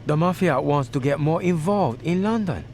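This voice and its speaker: An actor playing a menacing gangster who gives orders in stilted, formal, non-native phrasing in a game cutscene. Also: male voice, fairly high pitch, animated, moderate pace, darkish tone, slight background noise. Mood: measured, scheming. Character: menacing foreign male London gangster giving orders. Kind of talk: video game cutscene acting.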